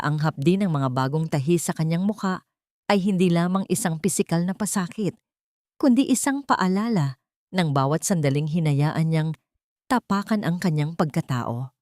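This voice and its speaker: A woman's voice: on the low side, a little mellow, dry recording, studio quality. A woman delivering scripted, dramatic narration as the storyteller of a romantic melodrama.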